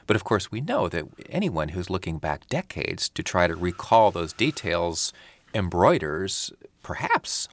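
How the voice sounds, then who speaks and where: A man's voice: deep voice, a bit bright, no room sound. A man interviewing a writer on public radio, asking probing, curious questions. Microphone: studio microphone.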